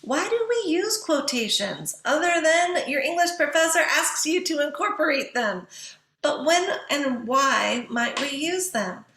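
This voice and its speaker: A woman teacher giving a lecture to students, stressing key points emphatically and slowing down on them. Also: high-pitched, lively intonation, fairly bright tone, reverberant. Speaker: English professor teaching writing.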